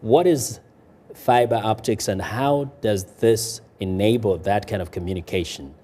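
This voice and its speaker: Mellow, lower-voiced male TV interviewer. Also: a little slow. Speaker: TV interview host. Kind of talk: broadcast interview.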